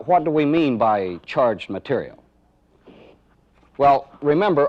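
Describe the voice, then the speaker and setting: Dull-sounding archival male training film instructor. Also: mid-range voice. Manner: clear, methodical explaining with rhetorical questions. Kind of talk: instructional narration with live demonstration. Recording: dull, band-limited 1980s training film audio.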